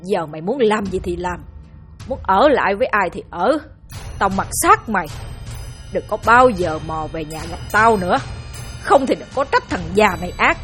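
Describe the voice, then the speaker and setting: A woman voicing a cruel, furious old village chief who shouts threats at his son, with a breathy voice and faint music behind. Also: mid-range voice, very animated, fairly quick, close to an everyday voice. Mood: raging.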